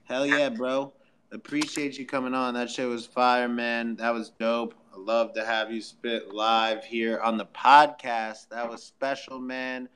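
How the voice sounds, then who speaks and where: A man's voice: mid-range voice, flat delivery, measured pace, a bit bright, clean tone, rough recording. A man hosting a casual live audio podcast, talking in a slangy, hyped-up way. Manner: hype, slangy. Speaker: podcast host. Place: live online audio room, voice only.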